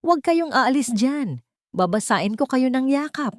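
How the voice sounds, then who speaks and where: A woman's voice: high voice, measured pace, no echo, clean studio sound, pitched above the performer's own voice. A woman voicing a cheerful, playful young housemaid who is tender with children, in audiobook dialogue. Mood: teasing, protective. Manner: lively, sing-song, teasing.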